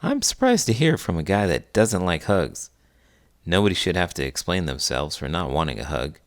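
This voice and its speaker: A man's voice: low-pitched, big pitch swings, normal speed. A man hosting a children's read-aloud, explaining things in a chatty, educational teacher's way. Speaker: host, elementary school teacher. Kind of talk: friendly educational chat for children.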